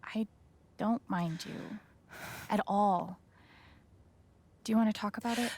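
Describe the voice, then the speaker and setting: Gentle, caring young woman. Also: mid-range voice, takes it slowly, husky. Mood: concerned. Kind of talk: naturalistic video game acting.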